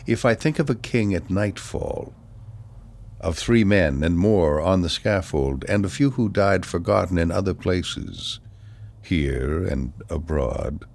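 A man giving a solemn poetry reading in a measured, deliberate manner, pausing between phrases. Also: low voice, animated, creaky, darkish tone. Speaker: poetry reader.

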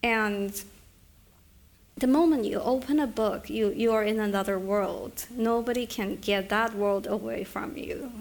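A woman's voice: medium pitch, a little slow. A middle-aged woman author from China, speaking thoughtfully with hesitant restarts at a live book event. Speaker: author at a reading.

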